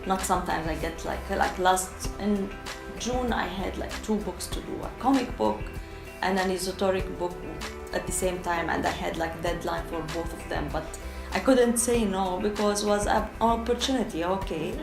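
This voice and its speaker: A woman's voice: medium pitch, normal speed, reverberant, music in the background. A Syrian woman with an Arabic accent talking candidly in a casual documentary interview, often hesitating as she searches for words in her second language. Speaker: documentary interviewee, freelance translator.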